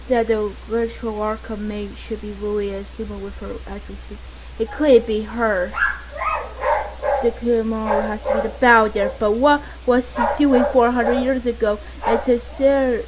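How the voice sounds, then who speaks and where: Teenage female gamer, high voice, slow casual commentary, noisy home recording. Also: fairly even intonation. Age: teens, high-school student. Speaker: gameplay commentator. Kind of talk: casual let's play reactions, reading along. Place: at a desk at home. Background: dog barking, game audio.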